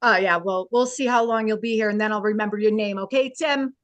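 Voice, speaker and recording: An actress as a brash woman who teaches. Her voice sits at a medium pitch. She is blunt and dismissive, delivering comedy script lines offhand. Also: fairly quick, highs cut off, lightly acted. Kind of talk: script table read.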